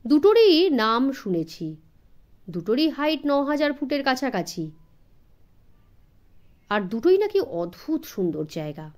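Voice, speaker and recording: Lady doing a helpful hill driver man in a story, simple plain talk, swingy pitch. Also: sing-song, a little slow, long pauses, darkish tone. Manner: simple phrases mixing Hindi into Bengali.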